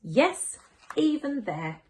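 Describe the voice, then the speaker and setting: Woman playing a soothing, expressive storybook mum. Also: high-pitched, extremely slow, voice pushed up, exaggerated voice. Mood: patient, loving. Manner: soothing, patient. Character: gentle, reassuring mother of young twins. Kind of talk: children's picture book read aloud.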